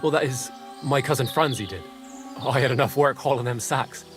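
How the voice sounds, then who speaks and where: An actor playing a cornered, cheeky medieval thief in a video game, with rough, colloquial, hedging speech. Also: male voice, mid-range voice, moderate pace, fairly bright tone, faint music behind, close to an everyday voice. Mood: bargaining. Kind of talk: video game drama acting.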